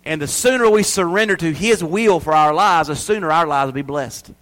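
A man preaching a sermon to his church, swinging from loud emphasis to hushed asides. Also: fairly high pitch, brisk. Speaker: pastor.

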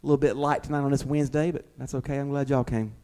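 A man's voice: medium pitch, steady tone, quick pace, a little mellow. A middle-aged man preaching an informal, conversational sermon as a pastor, in a folksy way. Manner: folksy, uses homely examples and acts out imagined replies.

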